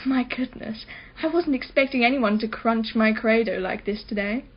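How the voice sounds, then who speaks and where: Actress doing posh-minded rich lady in a radio play, arch n sardonic, highish voice, bit muffled recording. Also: moderate pace, natural voice. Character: haughty, wealthy, privileged female art collector, witty and defensive.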